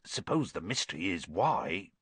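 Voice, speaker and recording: A man voicing a gruff, kindhearted, hot-tempered giant with a folksy dialect and halting speech in an audiobook story. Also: low-pitched, big pitch swings, fairly bright tone, no room sound, pitched below the performer's own voice, exaggerated voice. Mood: uneasy, grave.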